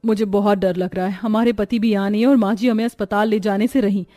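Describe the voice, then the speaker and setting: A woman's voice: medium pitch, steady tone, quick pace, mellow, no room sound, studio quality, faint music behind, natural voice. A woman playing a meek, suffering young pregnant daughter-in-law in a cartoon story, speaking timidly and plaintively. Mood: frightened. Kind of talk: Hindi cartoon story voice acting.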